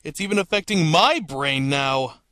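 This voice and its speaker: A man's voice: a little high, animated, normal speed, pushed and bright, no echo, studio quality. A man playing a nervous, sardonic, put-upon interviewee in a comedic cartoon parody.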